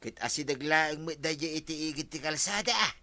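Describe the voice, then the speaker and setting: A man's voice: on the high side, normal speed, pushed and bright, no echo. A man with an Ilocano accent playing an opinionated, chummy village man in a radio comedy. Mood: persuasive.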